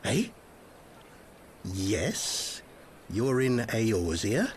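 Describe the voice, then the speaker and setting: A man's voice: lowish voice, very animated, extremely slow, slight background noise, full character voice. An actor playing a plain-spoken, puzzled townsman in a fantasy game, talking in a colloquial, bemused way. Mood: confused.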